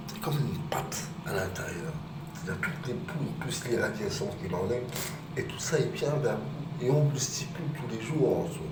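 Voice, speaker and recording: A man filming himself on a phone outdoors near his home, rambling and agitated, rising to shouted accusations. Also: on the low side, lively intonation, normal speed. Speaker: vlogger. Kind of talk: rambling phone-filmed rant. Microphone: phone camera. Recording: roomy distant outdoor phone recording with loud ambient noise.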